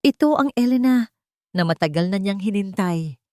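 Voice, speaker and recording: A woman's voice: mid-range voice, lively intonation, normal speed, warm tone, no room sound, clean studio sound. A woman reading a scripted dramatic story as narrator, in a solemn, theatrical manner.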